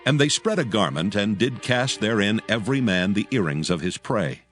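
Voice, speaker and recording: A man's voice: on the low side, expressive, normal speed, a bit bright. A man narrating a dramatized scripture story in a solemn, archaic storytelling style. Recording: music behind the voice. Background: clear background music.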